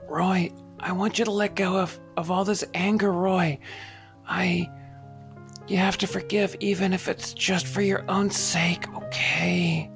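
A woman playing a gentle, forgiving dying show star in a radio play, speaking in a halting, tender way with a creaky voice. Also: on the low side, fairly even intonation, soft background music. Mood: pleading, tender. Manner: slow, halting, tender delivery.

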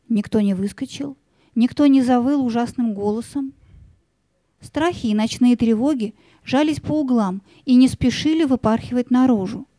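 A woman's voice: on the high side, dark tone, no room sound. A woman narrating a scripted fairy-tale audio play as a storyteller, with music behind her.

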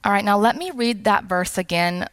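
A woman in her forties from South Texas, preaching a sermon in a calm, soft-spoken way, with slow, deliberate emphasis and wry asides. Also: mid-range voice, no echo.

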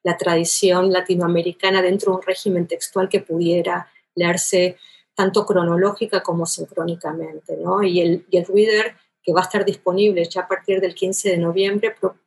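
A woman's voice: lowish voice, little pitch movement, normal speed, a little mellow, slightly rough. A woman from Buenos Aires with a porteño Argentine accent, a literary scholar talking at length in an interview, thoughtful and elaborate, often tagging her sentences with a questioning "¿no?". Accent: Argentine porteño Spanish. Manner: thoughtful, elaborate, with frequent restarts and "¿no?" tags. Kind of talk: academic interview talk.

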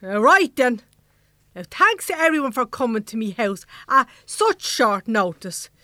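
A woman's voice: fairly high pitch, sing-song, unhurried, bright, forceful tone, dry recording, clean studio sound, heavily acted. A woman acting the bossy, no-nonsense leader of a secret band in an Irish comedy audio drama, colloquial, with a Dublin accent. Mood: bossy, jovial.

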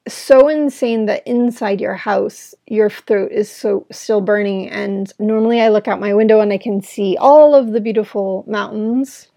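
A woman hosting her own podcast, talking in an earnest, rambling way with a gravelly, smoke-irritated throat. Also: mid-range voice, a little slow, few pauses, mellow, dry recording. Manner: earnest, rambling, with frequent "right?". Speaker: podcast host. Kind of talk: reflective solo podcast talk. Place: at home indoors.

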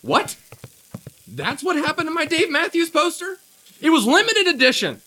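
A frazzled young restaurant worker played by a man with a high, bright voice. He rambles and stammers defensively, sarcasm spilling out as his pitch swings around. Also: wildly swooping pitch, moderate pace, slight background noise, exaggerated voice. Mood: bitter, sarcastic. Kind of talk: acted script table read.

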